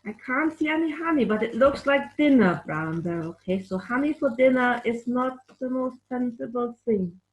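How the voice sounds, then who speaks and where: A woman telling a children's story in an animated, explanatory way, with playful asides to a puppet. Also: a little high, moderate pace, few pauses, poor audio. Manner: animated, explanatory, makes playful sound effects.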